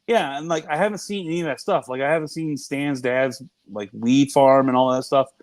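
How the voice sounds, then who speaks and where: A middle-aged man with a high, nasal voice, co-hosting a casual podcast chat. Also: a little fast, no echo, studio quality. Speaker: podcast co-host.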